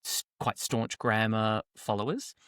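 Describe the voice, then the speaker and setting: A man co-hosting a language podcast in casual chat. He speaks softly and deliberately, pausing to search for his words. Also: lowish voice, clean tone, dry recording, studio quality. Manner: measured, searches for words, soft-spoken. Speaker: podcast co-host.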